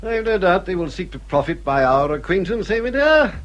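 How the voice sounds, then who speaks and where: An actor playing a vain, pompous older English gentleman, fussy and exclamatory, in a period radio drama. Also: high-pitched, very animated, brisk. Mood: pompous, musing.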